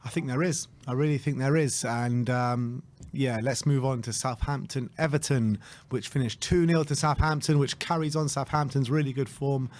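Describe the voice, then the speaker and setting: A man with a hoarse voice, leading a casual football chat as co-host with enthusiasm. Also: medium pitch, fairly even intonation, moderate pace, hardly any pauses, darkish tone. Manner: enthusiastic, leads the segments.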